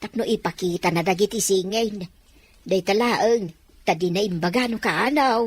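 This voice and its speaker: An actress with an Ilocano accent playing a deferential house servant who is anxious to please, in a drama. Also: female voice, mid-range voice, normal speed, husky, dry recording, close to an everyday voice. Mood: nervous, defensive.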